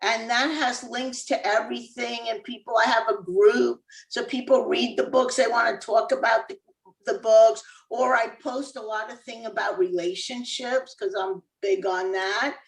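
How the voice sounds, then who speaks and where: A woman's voice: on the high side, steady tone, moderate pace, gravelly, roomy sound, distant mic, rough recording. A woman from Cuba, an author and former dentist, talking casually as an interview guest with easy laughs and many fillers.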